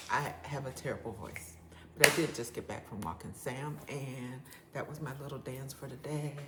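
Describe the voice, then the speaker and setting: A woman with a low, loud voice talks viewers through a recipe in her home kitchen, chatty and homey. She is recorded on a phone and then a small clip-on mic, with a faint hum of room ambience behind her. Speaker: home cooking host. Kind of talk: casual cooking demonstration. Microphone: phone, later a clip-on mic.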